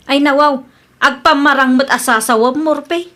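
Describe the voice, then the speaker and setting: A woman playing a brisk, self-assured young niece with an Ilocano accent in a Filipino radio comedy drama. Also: sits high, steady tone, pushed and bright. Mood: defensive.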